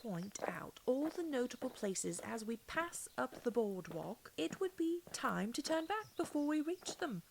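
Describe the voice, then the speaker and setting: Higher-pitched woman reading a storybook. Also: gravelly, darkish tone, slight background noise. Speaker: audiobook reader. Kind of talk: scripted storybook narration of a children's classic.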